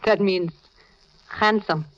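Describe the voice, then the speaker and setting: An actress playing a tough but generous, grieving Latin American woman with a Spanish accent, brisk and knowing, in 1940s radio drama style. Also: medium pitch, lightly acted. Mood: teasing. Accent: Spanish-accented English, Latin American. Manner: brisk, bossy, knowing, with simple foreign phrasing. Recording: dull, lo-fi old radio recording.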